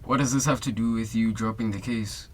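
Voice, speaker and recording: Young actor as steady male detective, South African accent, lowish pitch, supportive, radio drama. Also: brisk, natural voice. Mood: probing. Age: young adult.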